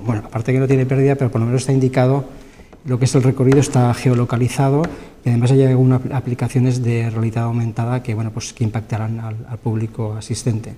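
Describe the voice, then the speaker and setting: Give me a man from answering interview questions haltingly, with a long studio reverb. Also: mid-range voice, little pitch movement, dark tone. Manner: halting, filled with "eh" and "pues". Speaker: science week organizer guest. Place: local TV studio.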